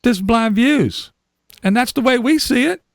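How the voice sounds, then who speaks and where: A man's voice: very high voice, moderate pace, gravelly, no room sound, studio quality. A middle-aged man hosting a casual interview, playful and joking. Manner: playful, joking, laughs easily. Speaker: podcast host.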